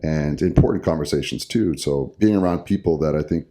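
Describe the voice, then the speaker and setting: A man's voice: extremely low pitch, brisk, mellow. A middle-aged man co-hosting a podcast as a hockey coach, talking casually and rambling with many fillers and restarts.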